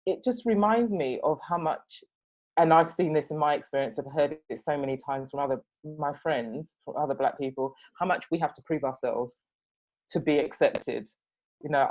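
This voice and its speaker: A speaker with an English accent talking in a measured, reflective way, often using "you know". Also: medium pitch, darkish tone, low-quality recording. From England. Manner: measured, with frequent fillers. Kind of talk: reflective casual conversation.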